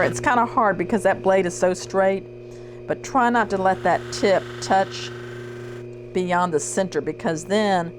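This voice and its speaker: A woman teaching shear sharpening, talking in a relaxed way and thinking aloud as she works in her workshop. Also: on the low side, noisy background. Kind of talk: hands-on demonstration talk.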